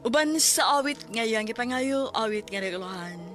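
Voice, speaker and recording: A woman with a Cebuano accent hosting a radio drama, reading scripted narration in a formal, measured announcing manner. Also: on the high side, expressive, fairly bright tone, soft background music.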